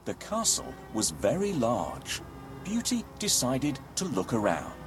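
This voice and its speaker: A man narrating a scripted children's fairy tale as an expressive, dramatic storyteller. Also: medium pitch, a little slow, over music.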